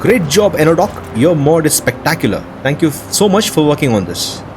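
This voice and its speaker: A man's voice: on the high side, very animated, moderate pace. A man hosting gameplay commentary on game mods in an enthusiastic, appreciative way. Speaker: host of a game modding news show. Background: prominent game music.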